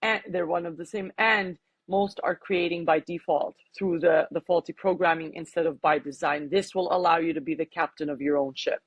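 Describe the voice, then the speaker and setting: A woman's voice: lowish voice, fairly even intonation, normal speed, few pauses, husky, no room sound, muffled recording. A middle-aged woman from Canada with a mixed accent, a life coach speaking enthusiastically as an interview guest. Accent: mixed, Canadian with hints of Armenian and British. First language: Armenian. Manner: enthusiastic, motivational, with frequent laughs. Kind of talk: interview conversation.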